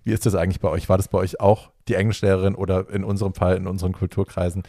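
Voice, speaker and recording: A young adult man telling a personal story in casual chat, acting out the dialogue with lively energy. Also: low voice, racing, no echo, studio quality.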